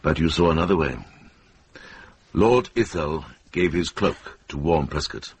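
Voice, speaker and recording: A deep-voiced, eloquent medieval monk. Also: male voice, slightly rough, exaggerated voice. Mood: earnest, persuasive. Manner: deliberate, eloquent, persuasive. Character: wise Welsh monk and sleuth, steady advocate. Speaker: actor in a radio drama. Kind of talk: radio drama acting.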